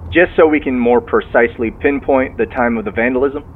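A man's voice: medium pitch, a little fast. A man playing a genial sheriff's officer heard over the phone, folksy and courteous as he methodically probes, in audio drama dialogue. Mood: courteous, probing. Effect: phone line filter. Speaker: law enforcement officer. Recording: tinny phone line, car noise.

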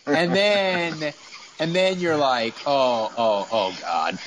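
A man's voice: fairly high pitch, slow, a bit bright, slight background noise, rough recording. A man hosting casual sports talk, telling stories in a rambling, repetitive way and acting out reactions. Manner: rambling, repeats words, acts out remembered reactions, swears. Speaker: sports talk show host. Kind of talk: casual sports chat and storytelling.

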